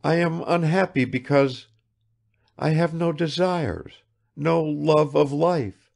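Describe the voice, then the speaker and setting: Man as aristocratic young woman, high, slow, creaky, languid, proud, world-weary, audiobook dialogue. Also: darkish tone, full character voice. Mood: unhappy, proud. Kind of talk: dramatised literary audiobook dialogue.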